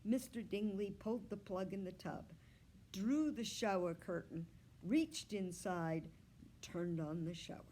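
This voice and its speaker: A woman reading a children's storybook aloud like a librarian, with measured, expressive phrasing that sometimes drops to a hush. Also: mid-range voice. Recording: clear background noise.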